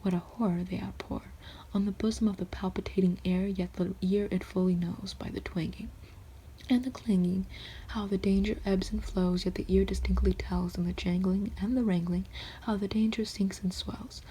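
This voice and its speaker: A woman reading classic poems aloud in a soft, steady whisper, with rhythmic recitation. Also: mid-range voice, moderate pace, a little hiss or hum, poor audio.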